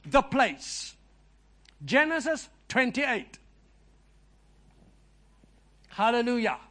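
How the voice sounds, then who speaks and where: A man's voice: extremely high pitch, very animated, takes it slowly, fairly bright tone. A man whose first language is Afrikaans, preaching and praying fervently in a church, with chatty asides to the people there. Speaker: visiting preacher. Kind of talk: sermon and prayer.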